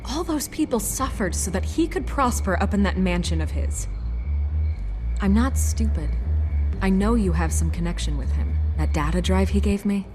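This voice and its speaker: A woman's voice: medium pitch, animated, moderate pace, dark tone, natural voice. An actress playing a confident, skeptical investigative reporter in a video game, wry, pointed and assertive in her delivery. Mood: accusing. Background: prominent music.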